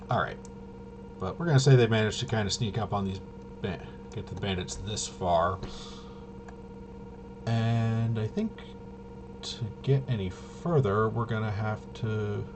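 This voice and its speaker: Low-voiced man thinking aloud, noisy recording. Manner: halting, thinking aloud, muttering to himself. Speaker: game master demonstrating a tabletop RPG. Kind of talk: casual improvised narration of solo tabletop gameplay.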